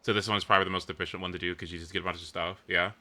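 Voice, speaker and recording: A man chatting casually as he streams a video game, often leaping into high, squeaky exclamations. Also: flat delivery, racing, bright, forceful tone, faint music behind. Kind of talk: casual gaming stream chat.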